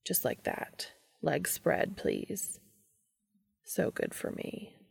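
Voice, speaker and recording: A woman voicing a commanding, tender adult man in a romantic audio story, speaking low, slow and often in a whisper. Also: wildly swooping pitch, vocal fry, slightly rough, exaggerated voice. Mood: hushed, commanding.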